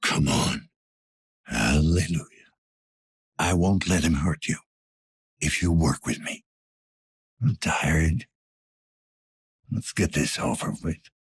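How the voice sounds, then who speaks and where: An actor playing a weary, gruff older police detective in a cyberpunk video game, with a gravelly, breathy rasp and a terse, dry manner. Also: male voice, very deep voice, lively intonation, takes it slowly, pauses often, full character voice. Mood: wry, weary. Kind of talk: scripted game character dialogue.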